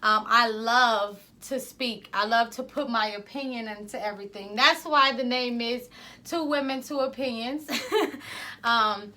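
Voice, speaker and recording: A woman from Ohio co-hosting a casual podcast, loud and rambling. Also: on the high side, measured pace. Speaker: podcast co-host.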